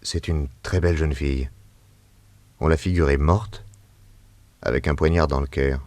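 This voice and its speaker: An actor playing a shrewd, cool detective who teases and commands his companion in a radio drama, dropping to hushed tones at tense moments. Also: very deep voice, fairly even intonation, normal speed, no room sound. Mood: grave. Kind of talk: vintage radio drama acting.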